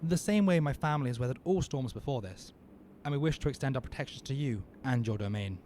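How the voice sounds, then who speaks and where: A man playing a grave necromancer businessman in an audio drama, formal, measured and deferential. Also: medium pitch, expressive, darkish tone, slight background noise, natural voice. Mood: formal, businesslike. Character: smooth, grave necromancer businessman, politely ominous.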